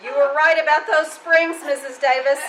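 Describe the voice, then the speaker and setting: Casting: actress as sardonic lady schoolteacher in a radio comedy, voice on the high side, bantering, slight background noise. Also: fairly even intonation, moderate pace, natural voice. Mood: wry, amused. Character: wry, wisecracking woman schoolteacher. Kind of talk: old-time radio comedy acting.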